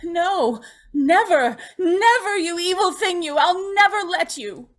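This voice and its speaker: Casting: actress for gentle young woman in torment, high and very animated, frightened, pleading, old-time radio melodrama. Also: takes it slowly, exaggerated voice. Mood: anguished, defiant. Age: young adult. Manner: pleading, tremulous, dramatic.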